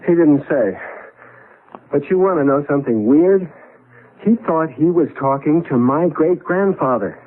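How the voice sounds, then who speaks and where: An actor in a 1970s radio drama playing an agitated, urgent husband stranded in the past, prone to stammering. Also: male voice, on the high side, lively intonation, measured pace. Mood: puzzled, excited. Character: agitated husband displaced into his great-grandfather's era. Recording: narrow-band tinny vintage radio recording.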